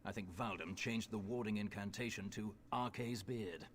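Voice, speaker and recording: A man's voice: lowish voice, normal speed, close to an everyday voice. A man voicing a resentful, sardonic thief in a video game, with an offhand manner. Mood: wry, resentful. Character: resentful, sardonic male thief cheated by his brother. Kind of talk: video game character acting. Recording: faint noise and game ambience.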